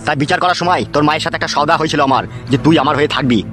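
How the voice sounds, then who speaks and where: A man's voice: fairly high pitch, racing, fairly bright tone, faint music behind, close to an everyday voice. A man voicing a menacing, lecherous male village thug who taunts with sneering laughs. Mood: threatening. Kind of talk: amateur cartoon voice acting.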